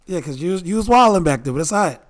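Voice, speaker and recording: High, lively, laughing podcast host. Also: male voice, rapid-fire. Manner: playful, laughing, teasing. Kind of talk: casual podcast chat.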